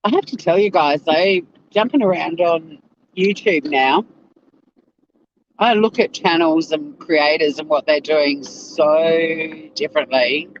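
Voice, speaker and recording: An Australian man hosting a casual livestream, chatty and animated, swearing freely, with a slightly whiny voice. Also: on the high side, takes it slowly, muffled recording, slight background noise. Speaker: livestream host. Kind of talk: casual livestream chat to viewers.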